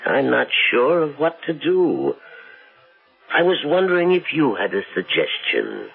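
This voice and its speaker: A troubled, deferential manservant, formal and apologetic, halting over his words. His delivery is slow and gravelly. The radio drama recording is narrow and phone-like. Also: medium pitch, wildly swooping pitch, full character voice. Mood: troubled, hesitant. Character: deferential, troubled male household servant. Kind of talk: old-time radio drama acting. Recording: band-limited old radio recording with music.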